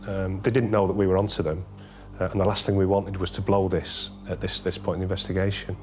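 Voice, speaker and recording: Male police interviewee, low voice with little inflection, matter-of-fact unscripted recollection. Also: level tone, fast, darkish tone, soft background music.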